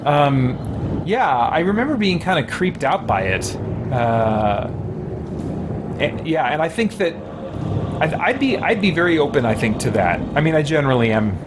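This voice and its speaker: Man, slightly higher voice, casual game commentary, thoughtful, rambling, clearly noisy game ambience behind. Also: big pitch swings, normal speed. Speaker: gaming streamer.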